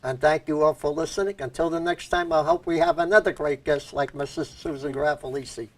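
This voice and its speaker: A man's voice: on the high side, sing-song, a little fast, creaky, dry recording. An older man from Brooklyn with a New York accent, hosting a local cable interview in a small studio, chatting in a folksy way. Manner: folksy, chatty, laughs easily. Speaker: host. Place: small TV studio set at a table.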